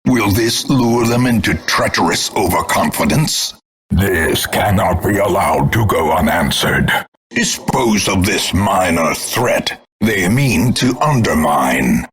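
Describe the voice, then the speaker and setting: An actor playing a grim, scornful older male announcer in a video game, grandiloquent and portentous, with a gravelly, husky voice. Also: low voice, expressive, slow pace, a bit bright, a little hiss or hum, heavily acted. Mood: stern, vengeful. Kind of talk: theatrical scripted game announcements.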